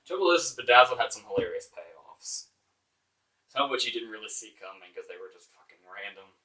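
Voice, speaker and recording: A man hosts an informal movie review in a bright, forceful voice, sounding exasperated and sarcastic. He leans hard on his words and swears casually. The microphone is some distance away from him. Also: fairly low pitch, animated, a little fast. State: voice getting hoarse. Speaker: movie reviewer, show host.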